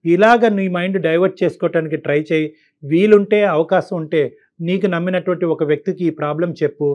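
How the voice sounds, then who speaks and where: A man's voice: sits high, fast talker, a little mellow, muffled recording. A man counselling on a call-in show, explaining his advice point by point and often repeating himself. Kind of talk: advisory talk to caller and co-host.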